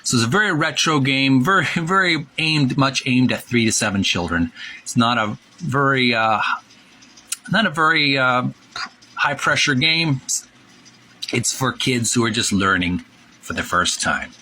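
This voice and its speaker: A man hosting informal video commentary, halting as he goes and drawing out key words for emphasis. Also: medium pitch, animated, sharp tone, low-quality recording. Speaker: host of a retro gaming channel. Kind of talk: informal video commentary with read-aloud passages.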